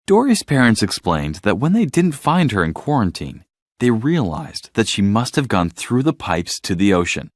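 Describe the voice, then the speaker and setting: Guy narrating a bedtime story for kids, really expressive, pitch moves a lot, dry clean sound. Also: moderate pace, no room sound. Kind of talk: scripted children's story narration.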